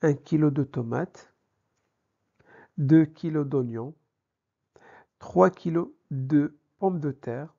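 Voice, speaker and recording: A man's voice: a little high, takes it slowly, vocal fry, long pauses, mellow. A man teaching a language lesson in a didactic way, posing questions and answering them himself, in a slightly dull recording. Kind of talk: grammar lesson with examples.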